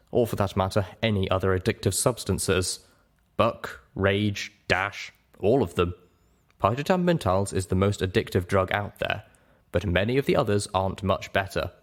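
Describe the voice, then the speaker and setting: A man voicing a calm, frank pony doctor in a dramatized audiobook, measured and matter-of-fact. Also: low voice. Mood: grave, advising. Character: calm, frank pony doctor giving grave medical advice.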